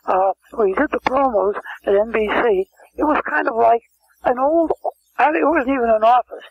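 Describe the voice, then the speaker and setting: A man's voice: very high-pitched, normal speed. An older man telling rambling anecdotes as an interview guest, often restarting and wandering into asides. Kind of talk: casual storytelling interview. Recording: muffled lo-fi phone-quality sound.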